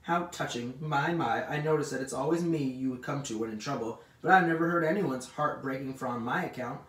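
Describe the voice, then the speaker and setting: A man's voice: medium pitch, fairly quick, a bit bright, lightly acted. Man doing a sarcastic grumbling rat, roomy sound. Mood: mocking. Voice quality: growling. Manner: mocking, complaining, rhetorical. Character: grumbling self-pitying male rat, sarcastic and lazy. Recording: roomy, distant mic with faint noise.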